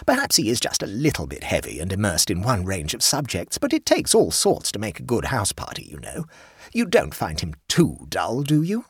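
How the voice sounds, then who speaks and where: A man's voice: lowish voice, wildly swooping pitch, normal speed, breathy edge, dry recording, clean studio sound, pitched above the performer's own voice, heavily acted. A man reading an audiobook, voicing a fussy, old-fashioned society hostess who is flustered and eager to please. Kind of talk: audiobook character voice.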